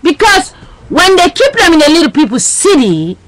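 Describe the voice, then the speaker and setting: Agitated rambling woman, lively pitch. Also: normal speed, dry recording, rough recording. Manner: agitated, repetitive, non-native grammar. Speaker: webcam vlogger. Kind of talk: rambling monologue. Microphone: built-in webcam mic.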